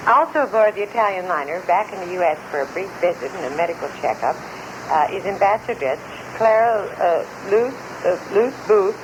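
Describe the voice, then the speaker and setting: A man's voice: sits high, expressive, moderate pace, lo-fi sound. A man reading as a radio announcer in a vintage style. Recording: noisy old radio broadcast.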